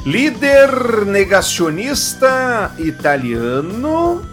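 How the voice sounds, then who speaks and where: A man's voice: high voice, very animated, drawn-out words, over music. A Brazilian man co-hosting a podcast, reading news stories aloud and adding dry, sarcastic comments.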